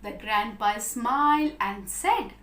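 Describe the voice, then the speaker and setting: A woman's voice: on the high side, lively intonation, slow pace, fairly bright tone. A woman with an Indian accent telling a story to young children as their teacher, in a sing-song, repetitive way with frequent checking questions. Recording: roomy, echoing sound.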